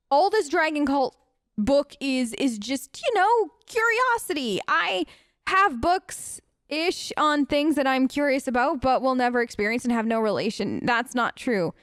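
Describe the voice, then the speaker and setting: Higher-voiced woman, close and dry. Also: dry recording. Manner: conversational, musing aloud with rhetorical questions. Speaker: let's player who role-plays her character. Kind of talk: reading aloud and chatty gameplay commentary.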